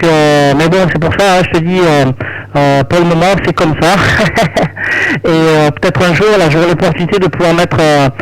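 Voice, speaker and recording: A man's voice: fairly high pitch, low-quality recording. A man who works as an amateur radio operator, chatting casually on air and often ending phrases with small conversational tags.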